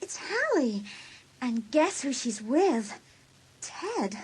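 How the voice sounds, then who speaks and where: A woman's voice: sits high, sing-song, extremely slow, a little mellow, husky, heavily acted. A woman playing a casual, cool teenage best friend in a dramatised audiobook. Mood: urgent.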